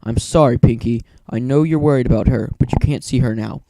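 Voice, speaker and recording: A grave male doctor pony, read by a man, speaks in a mellow, mid-pitched voice. The manner is measured and apologetic, as in a story reading. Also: creaky, lightly acted, poor audio.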